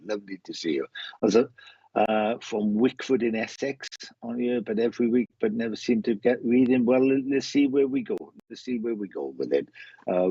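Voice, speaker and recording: A man's voice: on the low side, warm tone, slightly rough. A man with a Welsh accent chatting casually as a medium hosting a live online show, rambling and often repeating his phrases. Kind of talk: casual live chat, greeting viewers. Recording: muffled roomy online stream audio.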